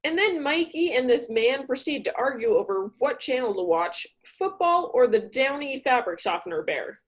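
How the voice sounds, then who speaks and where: Animated, higher-pitched woman narrator. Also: normal speed, rough recording. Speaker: comedian narrator. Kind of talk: comedic narration of a radio play.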